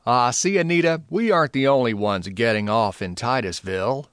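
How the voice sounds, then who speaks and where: A man's voice: medium pitch, moderate pace, fairly bright tone, smooth, dry recording, studio quality, lightly acted. A man playing a courteous, affable husband on a journey in an audio drama. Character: courteous, affable husband travelling by train with his wife.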